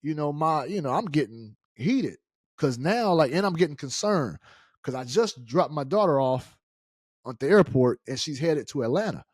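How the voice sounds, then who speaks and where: A man's voice: a little high, animated, normal speed, breathy edge, no echo, clean studio sound. A middle-aged American man telling a personal story casually and colloquially, acting out the dialogue as he goes. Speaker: storyteller, show host.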